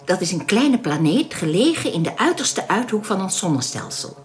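A woman's voice: mid-range voice, big pitch swings, normal speed, close to an everyday voice. Composed, lilting young alien woman played by an actress. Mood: earnest, explanatory. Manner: polite, composed, explanatory. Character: calm, welcoming young alien woman guiding stranded space travellers. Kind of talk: radio drama acting.

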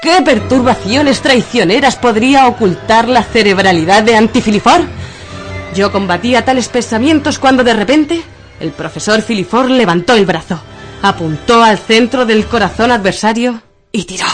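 A woman narrating a radio drama in a dramatic, literary and deliberate style. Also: fairly high pitch. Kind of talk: theatrical scripted narration of absurdist radio fiction. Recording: music bed under the voice.

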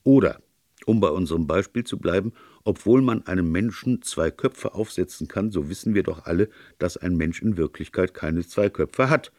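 Man voicing a deep-voiced, earnest priest detective. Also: moderate pace, no echo, clean studio sound, close to an everyday voice. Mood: earnest, arguing. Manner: even-tempered, sincere, discursive. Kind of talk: audiobook character dialogue.

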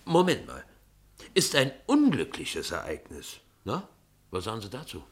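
An actor playing an eager, obliging young reporter in a radio play. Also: male voice, on the low side, wildly swooping pitch, a little slow. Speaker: junior journalist. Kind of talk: 1950s radio play acting.

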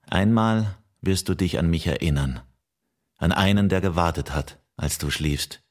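An actor playing a patient, melancholy lover in a poetic radio-play monologue, wistful and measured. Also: male voice, deep voice. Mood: wistful, resigned. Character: patient, melancholy man who waits for a lost love.

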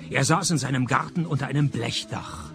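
An actor in a radio play playing a cynical private detective who narrates and questions with dry sarcasm. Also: male voice, medium pitch, very animated, brisk, creaky, music in the background. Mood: wry narrating. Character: sardonic, cynical male private detective narrating his case.

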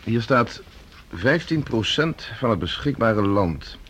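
An actor playing a cautious, sober professor with measured, reasoned delivery in a radio play. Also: male voice, medium pitch, very animated, a bit bright, a little hiss or hum. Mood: matter-of-fact. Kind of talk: Dutch radio play dialogue.

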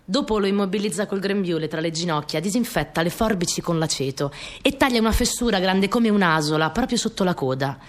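A woman's voice: fairly low pitch, lively intonation, brisk, hardly any pauses, close to an everyday voice. An actress playing a young peasant girl who recounts her rural family life in simple, repetitive phrases for a radio play. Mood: matter-of-fact. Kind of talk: first-person dramatic storytelling.

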